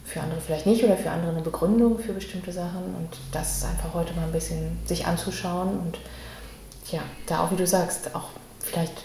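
A woman hosting a conversational podcast interview, speaking in a halting, searching way. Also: on the low side, mellow. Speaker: podcast host. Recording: echoey room with faint background noise.

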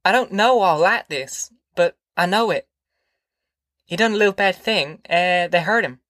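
A man's voice: high voice, a little slow, fairly bright tone, no echo, studio quality, pitched above the performer's own voice. A man voicing an anxious, tender middle-aged farm mother in an audiobook, with a rural Southern American accent and a hesitant, earnest way of talking. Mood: earnest, pouring out. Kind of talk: audiobook character acting.

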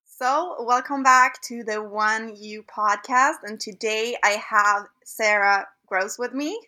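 A woman with a Swedish accent hosting a podcast, upbeat and quick to agree with her guest. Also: fairly high pitch, bright, forceful tone. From Sweden. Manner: upbeat, lots of short agreeing interjections. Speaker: podcast host. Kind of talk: casual interview chat.

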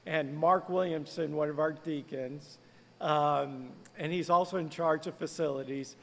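A man's voice: on the high side, fairly even intonation, measured pace, creaky, long reverb tail. A man who is a pastor, speaking earnestly and conversationally in a podcast interview. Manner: conversational, earnest, lightly laughing.

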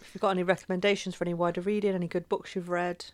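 Woman with a low voice, chatty podcast co-host, casual and rambling. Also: flat delivery, racing. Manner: chatty, informal, reads notes then riffs. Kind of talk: casual educational podcast chat.

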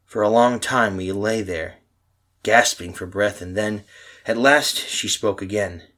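An actor playing a frightened husband lost in time, in melodramatic old-time radio horror style with intense, urgent delivery. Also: male voice, on the low side, a little slow, a bit bright. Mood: haunted, dread. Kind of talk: old-time radio horror acting, first-person narration and dialogue.

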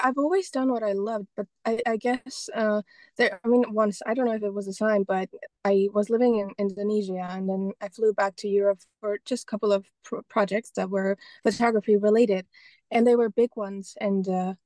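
A woman's voice: mid-range voice, warm tone, no room sound. A young woman from Estonia, a painter and photographer, talking casually as an interview guest and often restarting her sentences. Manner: rambling, many restarts and fillers.

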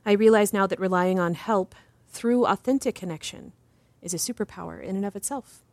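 A woman playing an earnest, principled doctor who answers interview questions in a formal, precise and measured way. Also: medium pitch, darkish tone, dry recording, close to an everyday voice. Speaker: interview guest. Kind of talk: scripted drama interview.

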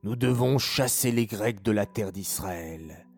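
A frail old father on his deathbed, speaking low, soft and slow in a storybook tale, as a man puts him on. His voice is weak and drops off at the ends of phrases, yet it stays resolute. There is a slight gravelly edge. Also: soft background music. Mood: solemn. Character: old dying father, weak but determined.

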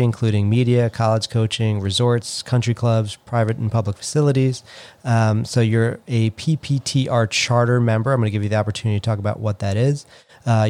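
A man's voice: on the low side, mellow, no room sound. A man hosting a podcast, interviewing a guest in a conversational style. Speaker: podcast host.

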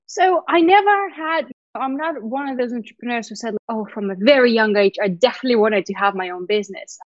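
A woman's voice: a little high, a little fast, no room sound, muffled recording. A woman in her thirties who grew up in Lithuania with Russian as her first language, telling her story candidly as an interview guest. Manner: candid, animated storytelling with frequent laughs. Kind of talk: casual interview chat.

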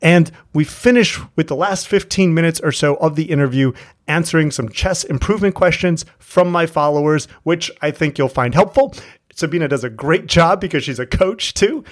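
Male podcast host, a bit higher-pitched, relaxed and friendly, loose conversational delivery. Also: normal speed. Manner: relaxed, friendly, with frequent fillers. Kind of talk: interview podcast chat.

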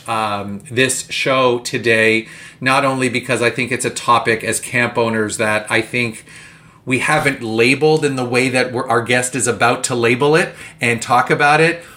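A Canadian man co-hosting a podcast, chatting casually and reading sponsor messages with frequent fillers. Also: mid-range voice. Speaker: podcast co-host.

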